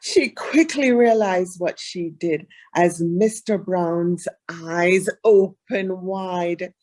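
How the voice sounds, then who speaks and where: A woman's voice: on the low side, extremely slow, darkish tone. A woman reading a children's storybook aloud expressively.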